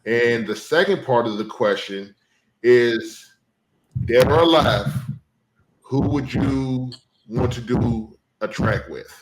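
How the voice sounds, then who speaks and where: A man asking interview questions in a measured, deliberate way. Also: medium pitch. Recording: roomy echo, faint noise.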